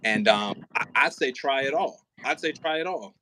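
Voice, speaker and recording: A man's voice: mid-range voice, animated, moderate pace, background noise. A man talking casually and informally with slang, in the role of writer and host at a rehearsal.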